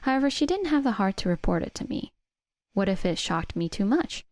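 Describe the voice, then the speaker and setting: A woman reading a scripted first-person story in a measured way as its narrator. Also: mid-range voice, sing-song, a little mellow, no room sound, studio quality.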